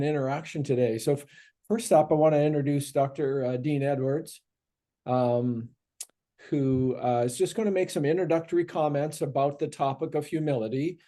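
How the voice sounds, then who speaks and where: A man's voice: mid-range voice, steady tone, moderate pace, mellow. A man moderating a seminary panel on humility, introducing the speakers with many fillers and restarts.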